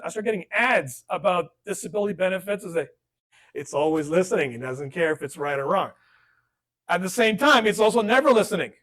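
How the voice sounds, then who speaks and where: A man teaching a casual class on online safety, chatting with jokes and acting out dialogue. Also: high voice. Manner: conversational, joking asides, acts out dialogue. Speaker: workshop instructor. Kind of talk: informal classroom lesson.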